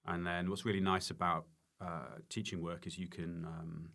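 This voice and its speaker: A man talking casually as an interview guest, halting and self-correcting, repeating words for emphasis. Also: deep voice, little pitch movement, creaky, sharp tone. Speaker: podcast guest, stand-up comedian and former teacher.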